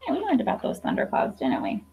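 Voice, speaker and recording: A woman's voice: mid-range voice, wildly swooping pitch, fast talker, vocal fry, a little mellow, slightly rough. A young adult woman teacher reading a picture book aloud to young children, explaining simply with questions and asides. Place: classroom.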